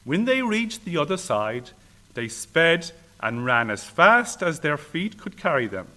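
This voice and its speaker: A man reading a children's fairy tale aloud in an expressive, deliberate, theatrical way. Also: fairly high pitch.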